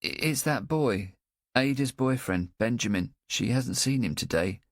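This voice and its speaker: A man voicing an anxious, simple-minded young boy in an audiobook, talking in a halting, earnest way. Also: mid-range voice, lively intonation, no echo, clean studio sound, voice pushed up, lightly acted. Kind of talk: audiobook character voice.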